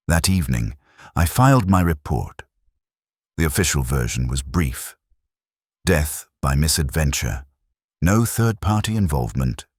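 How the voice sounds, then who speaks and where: A man with an extremely deep, husky voice narrates a detective story in the first person. His delivery is solemn and measured, yet the pitch moves expressively. The sound is dry and close. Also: dry recording.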